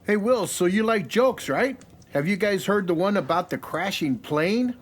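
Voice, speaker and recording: An actor playing a playful, chatty man with a jovial, drawn-out storytelling manner. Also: sits high, expressive. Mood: teasing. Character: playful, chatty American man telling a long joke.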